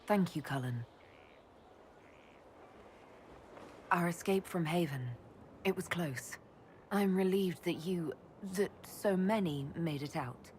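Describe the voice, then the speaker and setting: A woman's voice: fairly low pitch, measured pace, darkish tone, a little hiss or hum, lightly acted. An actress playing a composed, resolute woman leader with dry wit in a video game, speaking directly. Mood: tender, relieved. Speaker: leader, inquisitor. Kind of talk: video game cutscene acting.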